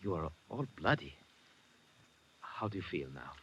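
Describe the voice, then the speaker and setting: An actor playing a deferential foreign manservant, speaking formally and attentively in 1950s radio drama style. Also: male voice, low voice, big pitch swings, unhurried, a bit bright, breathy edge, heavily acted. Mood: solicitous. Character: deferential male foreign servant and brother. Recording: dull vintage radio broadcast.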